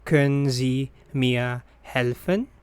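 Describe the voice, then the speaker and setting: A man narrating a language course, reading phrases one by one and repeating each with careful pauses. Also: medium pitch, smooth, dry recording. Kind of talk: slow scripted phrase drills.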